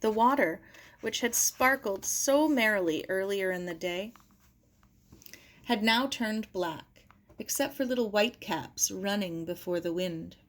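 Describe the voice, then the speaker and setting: A woman's voice: mid-range voice, a little slow. A woman reading a children's novel aloud as scripted narration. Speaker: audiobook reader.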